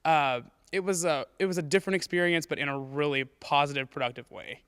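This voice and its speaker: A man's voice: on the high side, brisk, a bit bright, clean tone, dry recording. An earnest young man, a student actor, giving casual interview answers. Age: young adult. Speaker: high school student actor.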